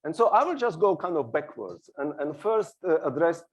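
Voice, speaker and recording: A man's voice: on the high side, very animated, normal speed. A middle-aged man from Slovakia with a Slovak accent, arguing his points on a discussion panel and hesitating often. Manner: argumentative, hesitant with many fillers. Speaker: panel speaker. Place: small hall on a stage.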